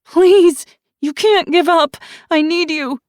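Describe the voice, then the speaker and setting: Woman voicing a frantic, shrill teenage girl. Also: moderate pace, no echo, clean studio sound. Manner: desperate, pleading, shrill. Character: frantic teenage girl begging her mother.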